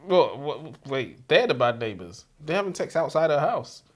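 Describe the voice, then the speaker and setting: A man's voice: a little high, sing-song, moderate pace, breathy edge. A man co-hosting a casual book discussion, posing questions in an irreverent, joking way. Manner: questioning, crude joking banter. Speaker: co-host of a book review show. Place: warm room, seated in comfy chairs.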